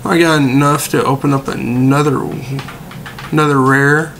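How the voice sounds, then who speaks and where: A young man with a slightly hoarse voice, as if he is losing it, giving laid-back gameplay commentary with a mildly let-down tone. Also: on the high side, fairly even intonation, moderate pace, fairly bright tone, a little hiss or hum. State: losing his voice. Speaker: gaming video creator.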